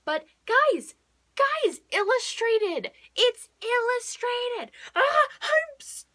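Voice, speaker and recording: A woman's voice: extremely high pitch, expressive, extremely slow, pushed and bright. A young woman chatting casually as a book vlogger, gushing and emphatic, often repeating words for emphasis. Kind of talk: casual chat to camera.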